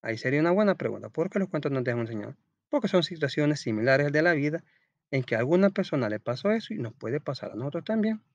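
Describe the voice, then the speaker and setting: A man's voice: on the high side, very animated, fast talker, no room sound, lo-fi sound. A man with a Salvadoran accent teaching an informal school lesson, reading aloud and explaining in a chatty, colloquial way, often asking questions and answering them himself.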